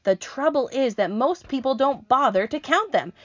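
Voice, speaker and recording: A prim, fussy male earthworm with a high, shrill, indignant voice, as a woman puts him on. It has a lively, swooping pitch, and the recording sounds dull. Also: moderate pace.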